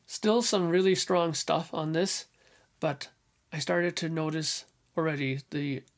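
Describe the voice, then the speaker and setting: A man with a hoarse voice casually talking through his record collection in a small home room, clearing his throat often. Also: on the high side, steady tone, slow, long pauses. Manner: enthusiastic, frequent throat clearing. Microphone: headphones on, webcam or desk mic.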